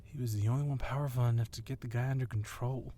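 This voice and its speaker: A man's voice: mid-range voice, steady tone, fast talker, mellow, lightly acted. A man playing a tough, confident police wrestling champion who teases his rival, in an ASMR roleplay audio drama. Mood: casual, admiring. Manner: teasing, self-assured.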